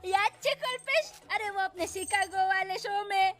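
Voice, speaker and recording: A woman voicing a chatty, bossy wife bird in an animated comedy, theatrical and with a Gujarati accent. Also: very high-pitched, moderate pace. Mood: chatty, bickering. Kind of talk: animated film comedy acting.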